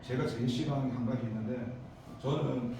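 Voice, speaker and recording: A man's voice: mid-range voice, a little fast, gravelly, far from the mic, background noise. Man with a Daegu accent preaching in an echoey hall. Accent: Daegu Korean. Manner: animated storytelling with bursts of emphasis and rambling asides. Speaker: pastor. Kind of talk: youth service sermon. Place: church sanctuary.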